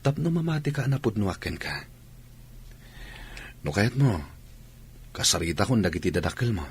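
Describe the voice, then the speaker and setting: A man's voice: lowish voice, very animated, moderate pace, husky, exaggerated voice. A man acting an insistent, pleading suitor in a radio drama, with a Filipino accent. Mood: earnest pleading.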